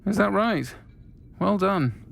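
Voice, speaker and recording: A performer with a low-for-a-woman voice playing a warm, wry, protective woman tavern keeper in a video game. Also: lively intonation, slow pace, creaky, heavily acted. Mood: welcoming.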